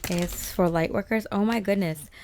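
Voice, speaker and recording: A young woman reading tarot and channeling a forecast in a slightly raspy voice, rambling casually with many fillers and restarts. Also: medium pitch, normal speed, darkish tone, a little hiss or hum.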